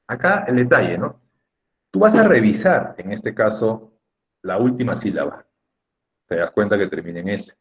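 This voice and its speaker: A man's voice: on the low side, dull audio. A man teaching a class in an emphatic, didactic way, repeating key words and checking in with short tag questions. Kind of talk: Spanish lesson on accent rules for students.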